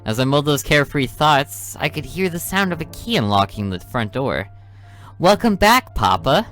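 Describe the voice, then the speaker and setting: A man's voice: mid-range voice, animated, fairly quick, faint music behind. A man hosting a game playthrough, reading the story narration aloud with casual asides. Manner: reads narration evenly, drops into quiet asides. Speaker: let's play host.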